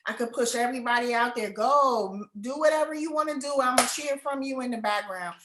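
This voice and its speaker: A woman's voice: on the high side, background noise. Heartfelt woman in an echoey room. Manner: heartfelt, halting. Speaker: host and writing coach. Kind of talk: casual conversation.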